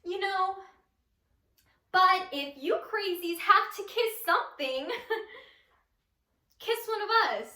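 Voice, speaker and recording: A woman's voice: extremely high pitch, lively intonation, takes it slowly, long pauses, pushed and bright, pitched above the performer's own voice, heavily acted. A young woman performing a dramatic monologue as a giggling, unstable, bitter teenage storyteller, theatrical and swinging in intensity. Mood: manic, giggling. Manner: theatrical, breaking into unhinged laughter, swinging intensity. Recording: echoey room.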